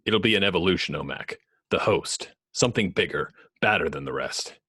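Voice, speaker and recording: Man playing a very low, husky brooding vigilante. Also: lively intonation, brisk, pushed and bright, exaggerated voice. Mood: grim. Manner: terse, grave. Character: grim, brooding male masked vigilante hero. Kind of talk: amateur superhero script table read.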